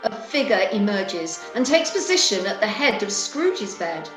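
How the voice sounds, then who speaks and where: A woman narrating a scripted story in a deliberate storytelling manner. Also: mid-range voice, sing-song, over music. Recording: roomy echo.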